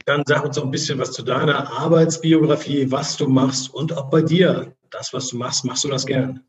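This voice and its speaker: A man's voice: medium pitch, steady tone, moderate pace. A man hosting a podcast and leading a casual, upbeat conversation with his guests. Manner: upbeat and inviting. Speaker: podcast host. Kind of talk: casual chat. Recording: dull lo-fi audio.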